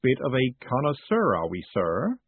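A man's voice: mid-range voice, wildly swooping pitch, normal speed, slightly rough, no echo, voice pushed up. A man voicing a big, keen pin-shop keeper in an audiobook, proud and furtive, with a knowing, confiding salesman's patter. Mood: wary, knowing. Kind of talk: audiobook character acting. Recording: muffled narrow phone-like sound.

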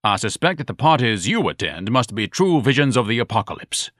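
A man's voice: medium pitch, very animated, a little fast, a bit bright, dry recording, clean studio sound. A man voicing a pompous, indignant eccentric who scolds in lofty, grandiloquent phrases. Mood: haughty.

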